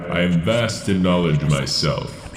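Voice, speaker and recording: Slow, cavernous alien overlord villain. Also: male voice, expressive. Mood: imperious. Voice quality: very deep, gravelly. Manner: grandiose, slow and deliberate. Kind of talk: sci-fi audio drama villain acting. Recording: echoing, processed voice under music.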